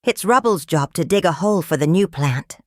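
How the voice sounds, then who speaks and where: A woman reading a children's story aloud in an expressive storytelling manner. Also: lowish voice, brisk, dry recording, clean studio sound. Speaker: storybook reader. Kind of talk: scripted read-aloud narration of a children's story.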